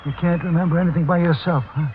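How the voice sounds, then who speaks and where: A man's voice: a little high, fairly even intonation, quick pace, close to an everyday voice. An actor playing a tough, loyal gangster henchman with slangy talk in a 1940s radio crime drama. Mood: matter-of-fact. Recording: thin, phone-like old radio recording, faint noise.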